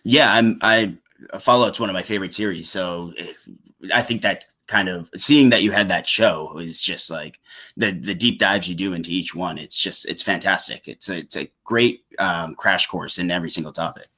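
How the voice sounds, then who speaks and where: A man's voice: low-pitched, normal speed, pushed and bright. A man hosting a podcast, chatting about video games in an informal, enthusiastic and appreciative way. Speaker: podcast host.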